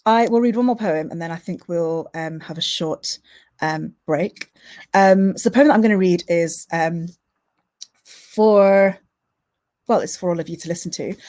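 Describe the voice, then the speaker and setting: A woman's voice: fairly low pitch, a little mellow. A woman, a poet who chats hesitantly with lots of "um"s between pieces, then reads her own poetry with impassioned rhythm. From Manchester, England. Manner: hesitant, filler-heavy chat between poems. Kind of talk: spoken word poetry reading with chat to an online audience.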